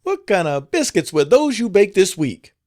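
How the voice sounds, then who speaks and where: A man's voice: high voice, sing-song, normal speed, darkish tone, voice pushed up. A man voicing an irritable plantation mistress who screams and scolds a servant, pushing his voice far up into a shriek. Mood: enraged.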